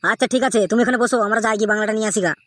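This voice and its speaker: A woman voices a sly young village drinker in a funny cartoon. The voice is fairly high and races along, the sound of a casual freeloading schemer. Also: fairly even intonation, racing, dry recording, clean studio sound, natural voice. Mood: bargaining. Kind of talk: comic cartoon dialogue.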